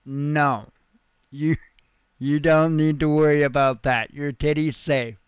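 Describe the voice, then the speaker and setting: A man's voice: on the high side, fairly even intonation, slow, smooth, no echo. A man giving informal news commentary as a channel host, explaining things in a folksy, plain-spoken way.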